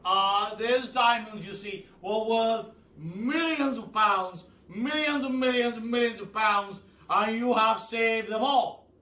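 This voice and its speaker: Storybook Duke: old, pompous, generous, loud and high-pitched, in an echoey room. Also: male voice, slow pace, hardly any pauses, heavily acted. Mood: insistent. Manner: pompous, emphatic, hesitating with uhs. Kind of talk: children's storybook read aloud. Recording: echoey room, distant mic.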